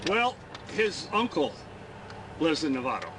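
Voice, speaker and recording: Hesitant Midwestern driver, loud traffic behind. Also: male voice, fairly high pitch, sing-song, unhurried. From Michigan. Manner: hesitant, stammering, many fillers. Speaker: stopped driver. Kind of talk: nervous roadside conversation. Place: roadside, outdoors. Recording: very noisy roadside dashcam audio.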